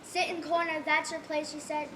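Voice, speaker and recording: A young-sounding woman playing a proud, gentle, self-conscious girl in a stage play. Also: sits high, flat delivery, moderate pace, noisy background, natural voice. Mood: shy, self-conscious.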